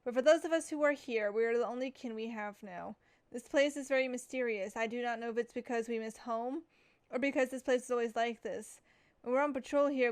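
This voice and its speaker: A woman's voice: fairly high pitch, smooth. A young woman gamer chatting casually and reading game text aloud, full of fillers and giggles. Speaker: gaming streamer.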